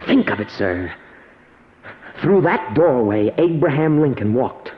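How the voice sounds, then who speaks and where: An actor playing an earnest young research assistant, dramatic and deliberate, in an old radio drama. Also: male voice, medium pitch, very animated. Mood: awed. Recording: echoey, dull vintage radio recording.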